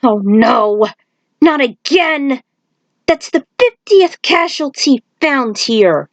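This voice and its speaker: A woman's voice: high-pitched, animated, takes it slowly, no room sound, voice pushed up, heavily acted. A woman voicing a distressed male city cop hero in a story, urgent and exclamatory. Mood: dismayed.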